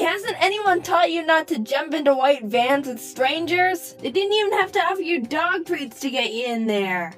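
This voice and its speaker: A young woman telling a personal story for a cartoon, in a casual, animated way with wry comic asides. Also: a little high.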